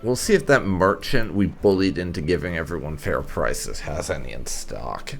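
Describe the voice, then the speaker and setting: A man hosts a gameplay video, musing aloud in a casual way. His deep voice is gravelly and slow, with faint game music behind it. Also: animated. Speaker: gaming video host. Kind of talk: casual gameplay commentary, lightly role-played.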